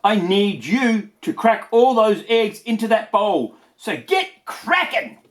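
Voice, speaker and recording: An encouraging, cheerful dad from a storybook, put on by a man reading aloud. He coaxes emphatically, with lifted, swooping pitch and a slow pace. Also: sing-song, exaggerated voice. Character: cheerful, encouraging dad teaching his son to cook.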